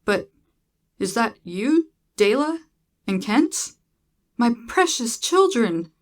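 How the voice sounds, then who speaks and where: A woman's voice: fairly high pitch, big pitch swings, takes it slowly, pitched above the performer's own voice. Bewildered tender father, voiced by a woman. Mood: amazed. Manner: astonished, exclaiming. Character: amazed, tender long-lost father, bewildered. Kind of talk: dramatised sci-fi story reading.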